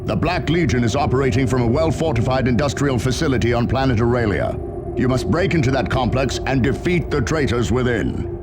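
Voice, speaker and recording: An actor playing a stern, authoritative space marine leader in a video game, husky, grave and commanding. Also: male voice, medium pitch, lively intonation, fairly quick, heavy background noise, close to an everyday voice. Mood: resolute. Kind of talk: video game briefing acting.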